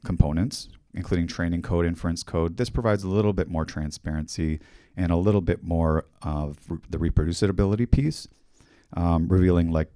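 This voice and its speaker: A middle-aged man with a Canadian accent giving a technical conference talk in a fairly monotone, steady voice, speaking into a handheld mic on a hall stage. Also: deep voice, fairly quick, studio quality. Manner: monotone, steady explanatory delivery. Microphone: handheld microphone.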